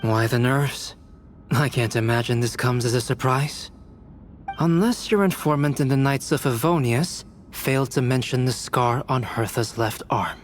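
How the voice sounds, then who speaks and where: An actor voicing a calm, cerebral alchemist who speaks formally and with cool condescension in a game cutscene. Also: male voice, medium pitch, soft background music, close to an everyday voice. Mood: coolly accusing. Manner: measured, formal, coolly condescending. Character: calm, cerebral male alchemist exposing an impostor. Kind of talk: dramatic game cutscene dialogue.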